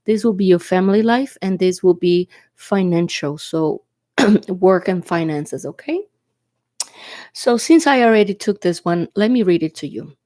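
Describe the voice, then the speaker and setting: Stuffy-voiced woman, portuguese first language, low mellow voice, dry close sound, keeps saying okay. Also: lively intonation, a little slow, dry recording. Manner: chatty, reassuring, often asks "okay?". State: congested from allergies or a cold, coughing. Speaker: tarot reader. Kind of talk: casual tarot reading to camera. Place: indoors at a table.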